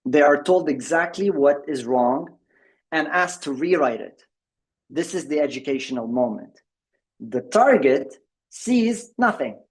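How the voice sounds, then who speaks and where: A man's voice: fairly high pitch, animated, a little slow. Hesitant man presenting a tech product. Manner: hesitant, many restarts and fillers. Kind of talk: conference presentation.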